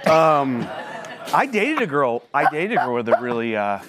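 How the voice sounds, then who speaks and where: A man on a talk show panel, cracking halting jokes. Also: mid-range voice, big pitch swings, a crowd in the background. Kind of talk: comedic banter.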